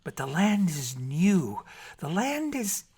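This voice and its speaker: A man voicing a young mermaid from a storybook, cheerful and impatient, puzzled by the land and speaking in halting, emphatic simple phrases. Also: high voice, big pitch swings, darkish tone, breathy edge, pitched above the performer's own voice, full character voice.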